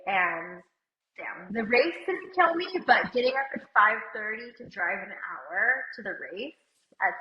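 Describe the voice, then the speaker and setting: A chatty woman with a slight cold hosts a relaxed talk, her voice a little muffled and dull. The room around her is roomy and echoey. She talks easily, with lots of "like" and light asides. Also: medium pitch. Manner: chatty, uses "like" often, laughs lightly. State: has a cold. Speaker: podcast co-host and company CEO. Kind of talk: casual chat. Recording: roomy, somewhat dull sound.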